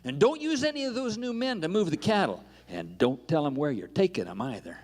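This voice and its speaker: A man playing an excitable, loyal ranch hand in a live Western radio play, with eager folksy talk in an echoey hall. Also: on the high side, fairly quick. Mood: earnest. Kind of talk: live Old West radio play acting.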